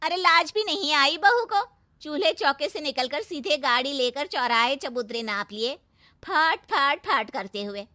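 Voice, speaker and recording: Woman acting a shrill, nagging village gossip. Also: animated, measured pace, bright, forceful tone, no echo, exaggerated voice. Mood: mocking, scandalized. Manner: nagging, mocking. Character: gossiping, disapproving village women.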